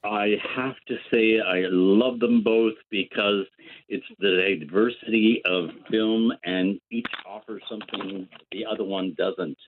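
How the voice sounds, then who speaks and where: A man's voice: lowish voice, steady tone, a little slow. An older man calling in to a radio show, playful and joking as he talks. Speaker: caller. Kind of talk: phone-in chat. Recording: tinny phone line.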